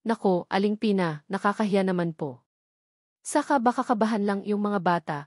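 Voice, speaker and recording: Woman voicing a gentle, modest young mother. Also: medium pitch, normal speed, dry recording, studio quality, natural voice. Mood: shy refusal. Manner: soft-spoken, polite.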